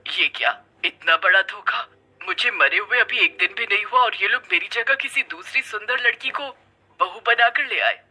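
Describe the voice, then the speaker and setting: A woman as a sharp, vengeful witch ghost. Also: medium pitch, expressive, normal speed, husky, exaggerated voice, rough recording. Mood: outraged. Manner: menacing, sneering, cackling. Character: vengeful female witch ghost, bitter, gloating, mocking.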